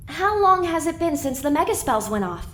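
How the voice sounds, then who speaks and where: A woman's voice: high-pitched, very animated, moderate pace, fairly bright tone, slight background noise. A woman playing an anxious young female pony in an audio drama, earnest and bewildered, full of self-questioning. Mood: worried.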